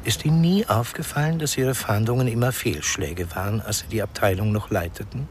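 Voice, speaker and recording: A fairly low male voice , acted as a seemingly harmless informant who insinuates rather than threatens. He speaks in a measured radio-drama style, with clear noise and clicks behind him. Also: close to an everyday voice. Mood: insinuating. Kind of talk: radio crime drama acting.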